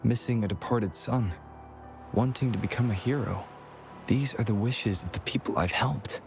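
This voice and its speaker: A man playing a calm scholar, music behind. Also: mid-range voice, normal speed, gravelly, lightly acted. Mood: calm, pensive. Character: calm, observant, thoughtful young man, scholarly. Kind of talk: video game voice acting. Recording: music underneath.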